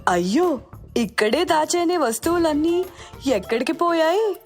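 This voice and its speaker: A woman's voice: high-pitched, lively intonation, a little slow, soft background music, pitched above the performer's own voice. A woman playing a poor, anxious mother working as a maid in a storybook tale, pleading and doting. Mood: distressed. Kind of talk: storybook dialogue for a moral tale.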